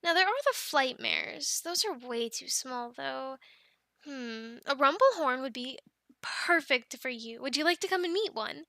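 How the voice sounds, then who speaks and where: A woman's voice: high voice, expressive, a little slow, vocal fry, pushed and bright, no room sound, clean studio sound, exaggerated voice. A woman playing a friendly, encouraging dragon keeper in an ASMR roleplay, chatting playfully with the listener and cooing to animals like pets. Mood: chatty, helpful.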